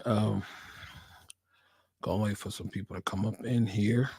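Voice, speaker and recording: An American man hosting a casual livestream, laid-back and slangy, repeating his phrases, with a voice hoarse from a cold he is getting over. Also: fairly low pitch, fairly even intonation, unhurried, dark tone, dry recording. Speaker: livestream host, working nurse.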